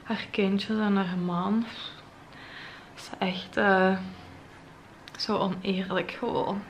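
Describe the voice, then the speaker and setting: A young woman with a Flemish accent, talking to camera in a vlog after crying, her voice soft, breathy and trailing into whispers. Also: medium pitch, flat delivery, slow pace, slight background noise. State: tearful, voice heavy from crying. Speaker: vlogger. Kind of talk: emotional vlog monologue to camera. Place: bright modern home interior. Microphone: camera at close range.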